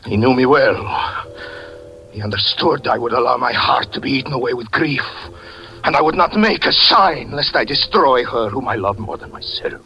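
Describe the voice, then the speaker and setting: A haunted, whispering cabaret performer. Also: male voice, medium pitch, highs cut off, a little hiss or hum. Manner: intense, dramatic, sinks to hushed whispers. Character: haunted devoted male cabaret performer recounting lost love. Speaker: narrator. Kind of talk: 1940s radio acting.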